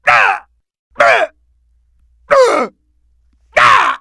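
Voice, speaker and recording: A man's voice: extremely high pitch, gravelly, sharp tone, dry recording, studio quality, full character voice. A man voicing a bombastic, scheming middle-aged mad-scientist villain in a video game, theatrical and blustering, with a hot temper. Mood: panicked, outraged.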